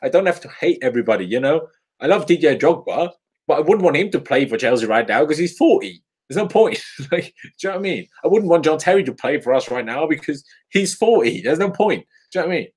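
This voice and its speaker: A man's voice: fairly high pitch, animated, fast talker, a little mellow, breathy edge. A man in his twenties from Austria, with an Austrian accent tinged by English and Irish, chatting casually in a live-stream Q&A with frequent laughs and ums. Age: 20s. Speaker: football YouTuber.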